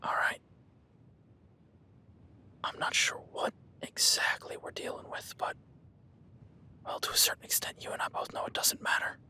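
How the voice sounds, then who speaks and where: A man playing a gruff cowboy hunter in an audio roleplay, whispering while stalking and swearing and giving orders in a crisis. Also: normal speed, pauses often, bright, forceful tone. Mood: hushed, tense. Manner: swearing, commanding, urgent. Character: gruff rugged cowboy hunter tracking a beast. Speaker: ranch hand tracking a beast.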